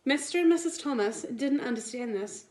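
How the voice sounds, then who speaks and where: A woman, a teacher reading a picture book aloud to young children in an expressive, deliberate way. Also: sits high, slight background noise. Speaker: primary school teacher. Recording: roomy indoor echo.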